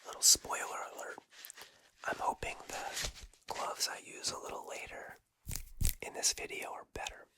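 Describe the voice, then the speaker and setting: An ASMR host whispering softly to the camera, her voice a little scratchy and hoarse, chatting in easy asides about each object. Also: normal speed, pauses often. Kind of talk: whispered ASMR trigger video. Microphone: close microphone for ASMR.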